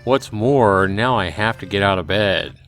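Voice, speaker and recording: A man's voice: fairly low pitch, a little slow, fairly bright tone, faint music behind. A man voicing a reluctant, sarcastic and vain young man narrating his life in a visual novel, grumbling and exasperated. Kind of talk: visual novel character reading.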